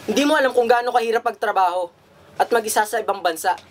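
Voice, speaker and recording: A man's voice: high voice, quick pace, lightly acted. A man acting a defensive, aggrieved migrant-worker husband in a radio drama. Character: defensive, aggrieved husband back from working abroad. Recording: noisy amateur radio drama recording.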